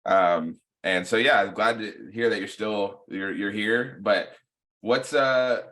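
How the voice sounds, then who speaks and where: A laid-back man hosts a podcast in a relaxed, chatty way. His voice sits low but sounds bright and forceful. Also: slow pace. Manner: laid-back, lots of "like" and "you know" fillers. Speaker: podcast host. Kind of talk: casual chat.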